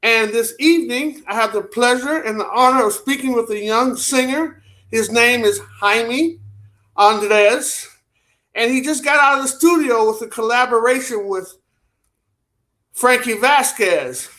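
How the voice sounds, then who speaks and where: A man's voice: very high voice, measured pace, bright, forceful tone. A man hosting a talk show, introducing his guest in a formal, welcoming way. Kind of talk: interview hosting.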